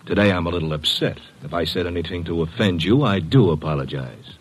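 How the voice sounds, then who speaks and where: A man's voice: sits low, expressive, a little fast. A man playing a surly, jealous businessman husband, terse and cool, in 1950s radio drama style. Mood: coolly conciliatory.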